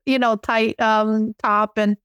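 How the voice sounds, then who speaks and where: A middle-aged woman from Miami with a Cuban American Miami accent, chatting casually as a podcast guest in an outgoing, animated way. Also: on the high side, slow pace, clean tone, dry recording, muffled recording. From Miami, Florida. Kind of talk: casual interview chat.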